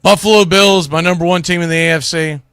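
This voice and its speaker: Higher-voiced man hosting casual sports talk. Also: steady tone, quick pace, a bit bright, clean tone, no echo, studio quality. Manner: opinionated, colloquial, talking directly to listeners. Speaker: sports talk show host. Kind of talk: casual sports commentary and ranking.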